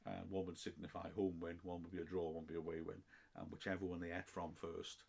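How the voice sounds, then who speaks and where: Low gravelly Welsh man chatting. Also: flat delivery, fast, a little mellow. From Wales. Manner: chatty and self-deprecating, with frequent ums and restarts. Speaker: football commentator answering listener questions. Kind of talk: casual podcast chat.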